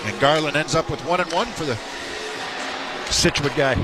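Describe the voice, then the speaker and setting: A man's voice: a little high, normal speed, heavy background noise. A man giving unscripted hockey analysis as a radio color commentator.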